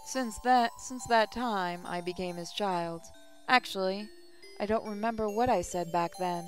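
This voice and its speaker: A woman's voice: medium pitch, unhurried. A woman hosting a gaming channel, reading visual novel text aloud in a casual way. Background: music.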